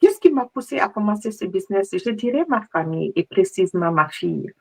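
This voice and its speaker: A middle-aged woman from Mali, a guest coach, answering interview questions in a lively, conversational way. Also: medium pitch, fairly quick, gravelly, slightly rough.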